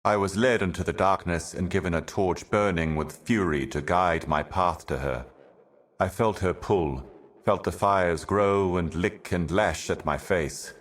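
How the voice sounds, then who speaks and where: A super deep ancient video game herald, grave and weary, slow cryptic doom monologue. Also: male voice. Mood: bleak, resigned. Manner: grave, deliberate, ominous, poetic.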